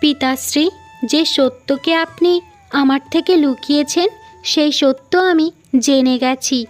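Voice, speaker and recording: A woman's voice: high-pitched, slow pace. A woman voicing a young, earnest cartoon princess who speaks formally and firmly, with music behind. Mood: solemn, firm. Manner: formal, declarative storybook speech.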